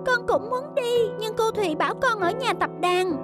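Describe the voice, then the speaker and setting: A woman voicing a gentle, timid, dutiful little girl in a children's cartoon, polite and meek. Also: very high-pitched, normal speed. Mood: wistful. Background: children's background music.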